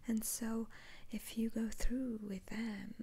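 A woman playing a stern, principled witch doctor in an ASMR roleplay, speaking in a breathy, halting whisper. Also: a little high, little pitch movement, dark tone, heavily acted. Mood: stern, cautioning. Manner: slow, halting, deliberate.

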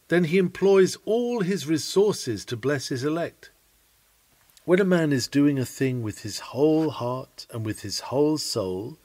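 A man reading an old sermon aloud in an oratorical preaching style, solemn, measured and emphatic. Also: fairly high pitch, dry recording. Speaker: sermon reader.

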